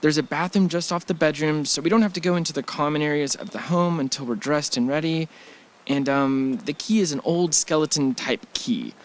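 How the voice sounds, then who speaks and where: A man's voice: on the high side, a little fast, lightly acted. A man playing an easygoing, affectionate husband on vacation in an audio drama, speaking casually with a joking manner. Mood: casual, teasing. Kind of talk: audio drama acting, conversational. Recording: faint background noise.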